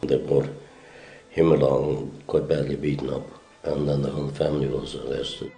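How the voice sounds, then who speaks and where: A man's voice: a bass voice, little pitch movement, moderate pace, creaky, warm tone, roomy sound, soft background music. An older man from Slovakia, a Holocaust survivor, telling his story in a wry, plainspoken documentary testimony.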